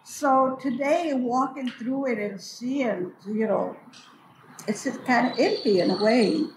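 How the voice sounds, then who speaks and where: A woman's voice: a little high, slow. An older woman telling memories casually and unhurriedly, repeating phrases for emphasis. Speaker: storyteller and community elder recalling memories. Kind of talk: casual oral reminiscence. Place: large echoey hall at a cultural building. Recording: echoey hall with clear background noise.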